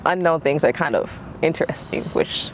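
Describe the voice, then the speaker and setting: A young man, born in Hawaii and raised in Georgia, talks as a guest in a casual interview chat, relaxed and slangy and laughing easily. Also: fairly high pitch, normal speed, slight background noise, poor audio.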